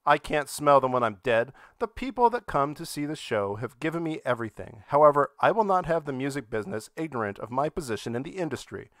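A man's voice: medium pitch, very animated, quick pace, few pauses, fairly bright tone, dry recording, clean studio sound. A man on a podcast panel, chatting casually and reading aloud for comedy.

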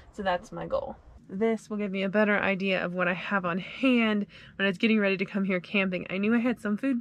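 A woman's voice: medium pitch, a little hiss or hum. A woman in her thirties chatting upbeat to camera while packing inside a small camper van. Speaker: vlogger. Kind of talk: casual vlog narration.

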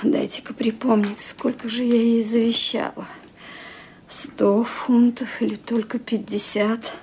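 An actress playing a frail, elderly English lady with a bad heart, stern and fearful, who drops into a husky whisper. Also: a little high, flat delivery, a little slow, a little hiss or hum. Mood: brusque. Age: older. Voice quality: husky, often sinks to a hushed whisper. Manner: brooding, imperious with her maid. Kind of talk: 1970s-era radio play acting.

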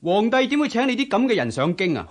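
An actor playing a haughty, irritable young Confucian scholar in a radio drama, scornful and challenging, with a Hong Kong Cantonese accent. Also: male voice, sits high, sing-song, fast. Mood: scornful, angry.

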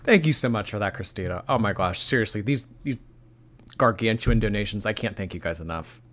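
A man's voice: on the low side, a little fast. A young man with a slightly stuffy, nasal voice, chatting casually on a live stream and reading donations. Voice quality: a little nasal. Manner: animated, laughing, reacting to chat.

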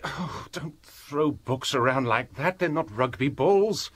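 A man playing a bookish, self-assured young English scholar in a radio drama, proper and slightly fussy, with a husky voice. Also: mid-range voice, expressive, takes it slowly, no room sound.

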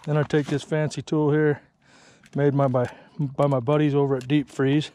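A man's voice: a little high, flat delivery, moderate pace, dark tone. A man casually explaining his ice fishing setup outdoors on a frozen lake, plainspoken and self-deprecating, recorded on a body-worn action camera. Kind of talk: casual how-to narration.

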